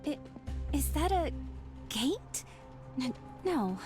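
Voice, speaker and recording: A careful young woman adventurer from a video game. Her voice is high and she speaks with earnest politeness. There is clear music behind her. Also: very animated, takes it slowly, exaggerated voice. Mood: worried, serious. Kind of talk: scripted dramatic game acting.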